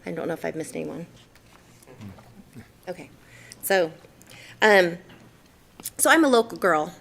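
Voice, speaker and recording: Woman in her forties, from Idaho, lowish voice, council chamber, podium mic, earnest with ums. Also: animated, unhurried, gravelly, fairly bright tone. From Idaho, USA. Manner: earnest, with frequent ums and self-corrections. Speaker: executive director presenting to city council. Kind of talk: formal public presentation.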